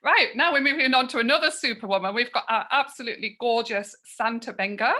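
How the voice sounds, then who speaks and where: A woman's voice: fairly high pitch, fast talker. A woman hosting an online session on a video call, introducing the next speaker and reading out her bio. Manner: warm introductions, reads a bio aloud. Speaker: host.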